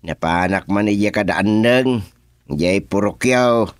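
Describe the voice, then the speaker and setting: A man playing an excitable, comic village chairman in a radio drama, exclaiming with drawn-out interjections. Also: lowish voice, smooth, no room sound, clean studio sound. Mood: puzzled, surprised. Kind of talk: comedic radio drama acting.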